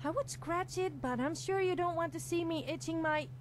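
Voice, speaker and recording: A woman's voice: very high-pitched, normal speed, a little hiss or hum. A woman playing a cheeky, needy, self-deprecating young adventurer companion in a video game, flippant and confessional. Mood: cheeky, wry. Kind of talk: scripted video game character dialogue. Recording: game audio with music behind.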